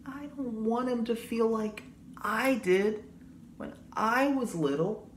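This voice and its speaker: A man voicing a gentle, earnest, anxious little boy from a storybook, speaking tentatively. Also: very high voice, takes it slowly, voice pushed up. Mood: whispered worry. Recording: roomy echo, audible background noise.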